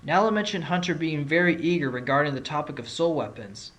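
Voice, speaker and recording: A man's voice: fairly high pitch, expressive, fairly quick, fairly bright tone. A man playing a confident fox defence lawyer with an assertive, probing courtroom manner in an audio drama.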